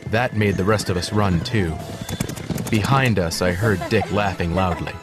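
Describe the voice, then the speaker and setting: A man playing a gentle horse who narrates his life story in a measured, earnest storybook style. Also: low-pitched, music in the background. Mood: reflective.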